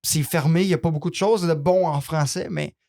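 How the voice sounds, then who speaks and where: A man's voice: fairly high pitch, fairly even intonation, fairly quick, vocal fry, dark tone. A man hosting a podcast, asking friendly, hesitant questions. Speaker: podcast host. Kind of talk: casual interview chat.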